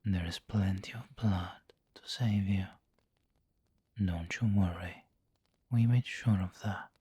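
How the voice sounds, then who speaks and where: A man playing a refined, doting vampire lord in an ASMR roleplay, whispering gently and intimately with seductive, protective care. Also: deep voice, full character voice. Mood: soothing, protective. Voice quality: breathy, whispery. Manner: slow, gentle, intimate coaxing.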